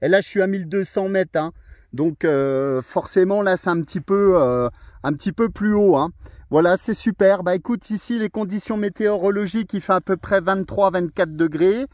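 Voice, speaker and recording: A man's voice: high voice, moderate pace, darkish tone, dry recording, clean studio sound. A man talking on a portable ham radio from a mountain summit, chatty and friendly with many fillers. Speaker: radio amateur operating outdoors. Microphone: portable radio, filming a video.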